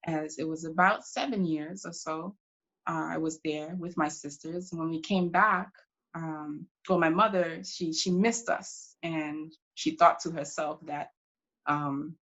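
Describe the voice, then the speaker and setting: A woman playing a proud, determined pioneering congresswoman from Brooklyn, answering questions in character with deliberate, reflective phrasing broken by pauses. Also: fairly low pitch, fairly bright tone, dull audio. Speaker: historical reenactor taking audience questions.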